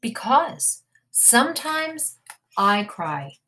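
A gentle mother rabbit from a storybook, voiced by a woman. She explains tenderly and patiently, taking her time, with expressive rises and falls in pitch. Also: extremely slow, exaggerated voice. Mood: tender, reassuring.

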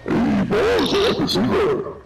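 Man acting a trash-talking gamer, voice-changer effect, wildly swinging pitch, heavy echo, music behind. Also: huge pitch swings, slow, gravelly, exaggerated voice. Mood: joking bragging. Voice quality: altered deep-to-squeaky voice, as from a voice changer. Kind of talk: joking game voice chat. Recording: echoey game chat with loud music.

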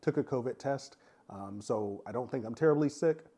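A man chatting frankly in a casual review monologue, his voice raspy and congested from a cold, with lots of filler sounds. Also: medium pitch, normal speed, warm tone. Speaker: vlogger reviewing a cruise.

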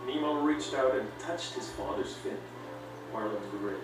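A man's voice: mid-range voice, a little slow. A man reading a children's read-along story as a scripted narration. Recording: echoey, distant, with loud music. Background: loud music.

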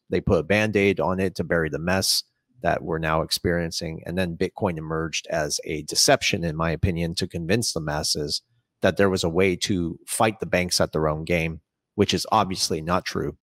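A man's voice: low-pitched, fairly even intonation, moderate pace, fairly bright tone, dry recording, studio quality. A man in his thirties from Saudi Arabia, hosting an interview over a video call with long, opinionated questions. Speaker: interviewer and host. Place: small home room, indoors.

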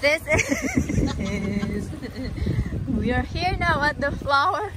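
A woman's voice: high-pitched, very animated, very slow, background noise. A woman in her thirties vlogging on a hike, chatty and giggly, mixing English with Filipino. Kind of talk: casual vlog narration. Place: outdoors on a rocky lakeshore and forest trail. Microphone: handheld phone.

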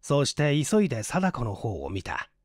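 A man's voice: mid-range voice, wildly swooping pitch, normal speed, no echo. A man reading literary fiction as a dramatic audiobook narrator in a close, dry recording. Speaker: narrator, story reader.

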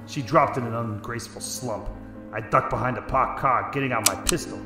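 A hard-boiled detective narrates a noir radio serial, performed by a man. His delivery is terse and dramatic at a middle pitch, in a roomy recording with clear music behind. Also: a little fast, natural voice. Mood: tense recounting. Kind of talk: first-person scripted noir narration. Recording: echoey room, music underneath.

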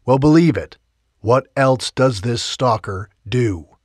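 A man voicing a stressed, stubborn young woman, raising his pitch and speaking emphatically. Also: animated, slow pace, no echo, studio quality. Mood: upset.

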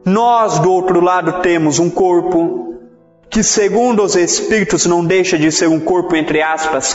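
Brazilian male Spiritist lecturer, fairly high voice with very lively intonation, emphatic and didactic. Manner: didactic, emphatic, with rhetorical questions and repetition. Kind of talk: religious lecture. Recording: echoey hall, dull sound, music.

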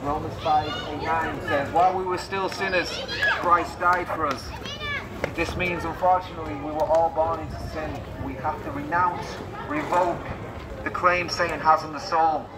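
A male street preacher delivers an evangelistic sermon in short, emphatic statements. His voice is fairly high and comes from some distance, with echo and clear outdoor street noise around it. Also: steady tone, moderate pace. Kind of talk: open-air evangelistic sermon. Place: outdoors on a busy pedestrian shopping street. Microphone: loudspeaker PA, picked up by camera at a distance. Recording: roomy, distant PA sound, noisy street.

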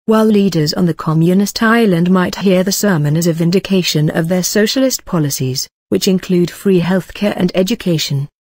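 Flat, even news-reading voice, dry sound. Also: medium pitch, no echo. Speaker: news reader. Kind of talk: reading a news article aloud.

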